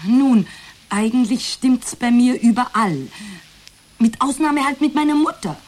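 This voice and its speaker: A woman's voice: on the high side, lively intonation, unhurried. An actress playing a hesitant, burdened therapy client in a radio drama, searching for her words in conversational speech. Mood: hesitant, reflective.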